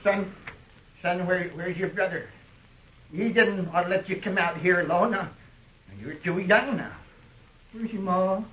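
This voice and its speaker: A confused old frontier father, high-pitched and rambling in a childlike, folksy American way. The voice comes through a muffled 1950s radio drama recording. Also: measured pace, pauses often. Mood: confused, distressed. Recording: muffled vintage radio broadcast.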